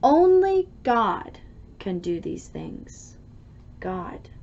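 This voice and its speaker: Expressive, slow-paced woman reading to children. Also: mid-range voice, gravelly, dull audio. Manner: dramatic, deliberate emphasis. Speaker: children's story reader. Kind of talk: expressive children's storybook read-aloud.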